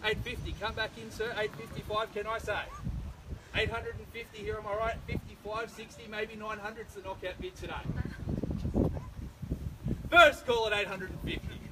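Australian auctioneer calling bids outdoors. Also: male voice, sits high. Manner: brisk, persuasive sales patter. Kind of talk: live property auction calling. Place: suburban street outdoors. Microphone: far camera, no microphone. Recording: distant outdoor camera audio, loud street noise.

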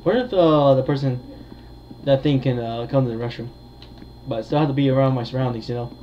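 A man's voice: mid-range voice, a little fast, lo-fi sound, a little hiss or hum. A man giving casual commentary on a horror game, talking to his viewers and thinking aloud as he plays. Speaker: gamer, video host.

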